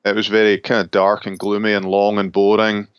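Scottish pastor, dry dull recording. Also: male voice, lowish voice, monotone, fairly quick, clean tone, no room sound. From Scotland. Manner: thoughtful, with small laughs and restarts. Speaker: pastor and seminary professor. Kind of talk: relaxed interview conversation.

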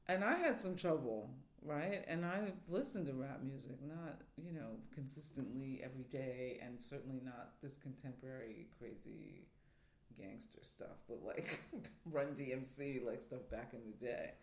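A man's voice: medium pitch, unhurried, gravelly. A man from New York City, a theater professor, speaking thoughtfully as an interview guest. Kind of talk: reflective interview talk. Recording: roomy echo.

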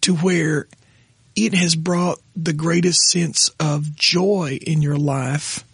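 Hoarse, gravelly man. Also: fairly high pitch, fairly even intonation, fairly bright tone, no echo. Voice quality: raspy. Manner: halting, restarts often when moved. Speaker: pastor and podcast co-host. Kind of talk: casual conversation with his wife.

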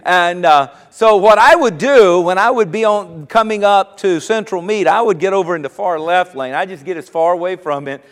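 Storytelling pastor in a reverberant hall. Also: male voice, sits high. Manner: storytelling, conversational, slows for emphasis. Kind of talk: sermon with personal story.

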